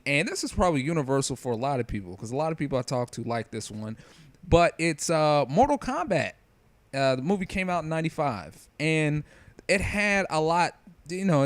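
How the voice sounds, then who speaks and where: A man's voice: fairly high pitch, clear, resonant tone, no room sound. A man giving casual, opinionated review commentary in an informal, slangy, conversational way. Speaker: video creator giving commentary.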